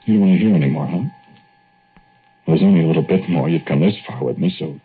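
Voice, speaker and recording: An actor playing a folksy American small-town fellow in a 1940s radio horror drama, speaking in loose, ungrammatical everyday talk. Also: male voice, deep voice, natural voice. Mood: subdued, matter-of-fact. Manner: colloquial, ungrammatical, halting storytelling. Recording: dull, noisy old radio recording.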